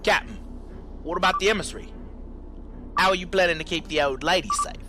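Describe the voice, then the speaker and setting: An actor playing a blunt, informal streetwise robot operator in an audio drama. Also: male voice, high voice, a little fast, loud music behind the voice, close to an everyday voice. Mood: doubtful. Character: self-professed streetwise male robot operator, plain-spoken.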